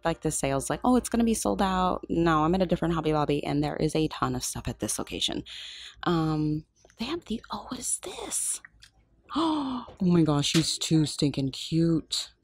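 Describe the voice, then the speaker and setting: A woman filming a shop-with-me vlog in a craft store aisle on a handheld phone, excited and gushing, often dropping to a whisper. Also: deep voice, a little hiss or hum. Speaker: shopping vlogger.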